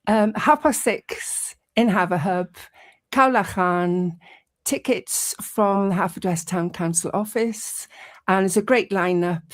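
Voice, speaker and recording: Brief: woman guest, mid-pitched voice, friendly and upbeat. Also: a little slow. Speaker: studio guest, event organiser. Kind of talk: radio interview.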